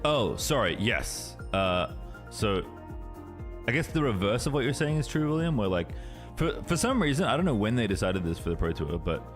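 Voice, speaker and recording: Man, lowish voice, animated intonation, casual tournament co-stream commentary, hesitant phrasing, broadcast audio behind. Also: normal speed, soft background music.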